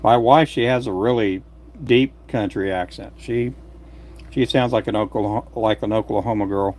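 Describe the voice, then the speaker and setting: A man's voice: lowish voice, fairly even intonation, moderate pace, darkish tone. A man in his late fifties from Texas with a country accent, chatting casually and folksily on his livestream, with many fillers. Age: 50s. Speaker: fishing jig maker and channel host.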